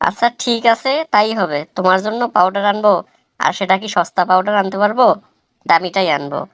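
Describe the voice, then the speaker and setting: A woman playing a placating, boastful husband in a comic cartoon, coaxing and flattering with a rural Bangladeshi accent. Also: mid-range voice, a little fast, a little hiss or hum, close to an everyday voice. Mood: boastful, agreeable. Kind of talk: melodramatic comic cartoon dialogue.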